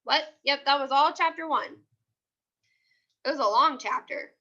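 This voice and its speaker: A woman who is a teacher reading a children's chapter book aloud in an animated, dramatic way. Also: high voice, unhurried, lo-fi sound.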